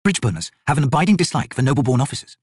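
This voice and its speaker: Man playing a guarded, husky young captain. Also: mid-range voice, lively intonation, very fast, darkish tone, dry recording, studio quality, pitched above the performer's own voice. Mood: guarded, formal. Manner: formal, restrained. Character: young noble-born army captain, guarded and formal.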